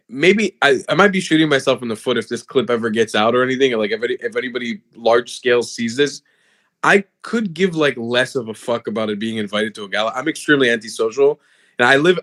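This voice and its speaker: A man's voice: mid-range voice, fairly bright tone. A young man from the US whose first language is Hebrew, talking candidly and casually with loose fillers and some swearing. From USA (South Florida). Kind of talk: casual chat.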